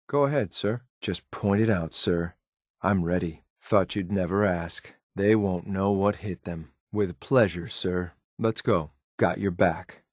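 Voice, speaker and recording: Cool, lethal android soldier for a video game: low voice, clipped military deadpan, very dry close recording. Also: male voice, dry recording. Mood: clipped, businesslike. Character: stern, loyal synthetic courser soldier, cool and lethal. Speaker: combat companion in a video game. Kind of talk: scripted video game voice lines.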